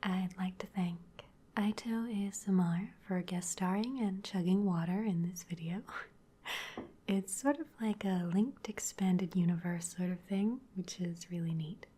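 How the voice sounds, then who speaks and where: Breathy whispering woman. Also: fairly low pitch, unhurried, dark tone. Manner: whispered thanks to viewers. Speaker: ASMR creator. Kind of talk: ASMR outro.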